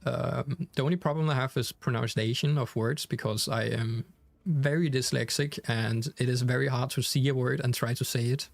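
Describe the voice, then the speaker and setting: A man's voice: mid-range voice, fairly even intonation, moderate pace, vocal fry, no room sound. Danish-accented man streaming a game. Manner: chatty, many fillers and restarts, reads chat aloud. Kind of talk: casual live stream chat.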